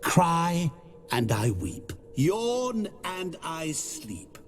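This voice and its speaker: An actor playing a genial, sinister demon posing as a merchant, courteous and quietly menacing in a video game cutscene. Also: male voice, on the high side, big pitch swings, a little hiss or hum, exaggerated voice. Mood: playful riddling. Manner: speaks in slow, sing-song riddles. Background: orchestral game music.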